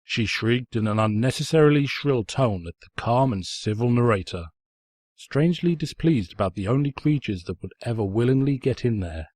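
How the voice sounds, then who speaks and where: Casting: male story narrator, raspy, mock-pompous and sardonic, very dry close recording. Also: medium pitch, expressive, normal speed, a little mellow, no echo. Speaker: narrator, fan fiction reader. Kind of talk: theatrical scripted story narration.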